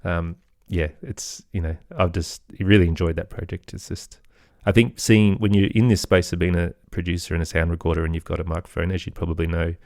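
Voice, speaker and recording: A man's voice: deep voice, level tone, brisk, hardly any pauses, a little mellow, dry recording, studio quality. A middle-aged man talking casually as an interview guest, in a hesitant, self-effacing, rambling way. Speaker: podcast guest, audio storyteller and producer.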